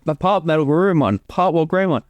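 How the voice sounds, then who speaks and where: A man's voice: a little high, expressive, fast, a little hiss or hum. A man giving casual, explanatory creator commentary, sometimes sweary, with soundtrack music behind him. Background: film soundtrack music.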